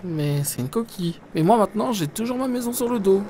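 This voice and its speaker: A woman voicing a weary, resigned bank clerk in an animated film, with understated, hesitant replies. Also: medium pitch, brisk, background noise, lightly acted. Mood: resigned, calm. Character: adult bank clerk living with a shell, weary but resigned. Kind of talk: animated film voice acting in French.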